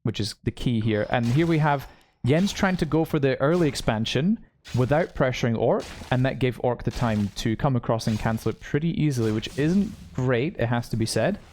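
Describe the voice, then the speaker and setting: A man with a mix of British and American English, calmly analyzing a live esports match and laughing easily. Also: mid-range voice, moderate pace, few pauses, slight background noise. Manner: easygoing, laughs often, analytical. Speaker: esports co-caster. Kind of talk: live game commentary.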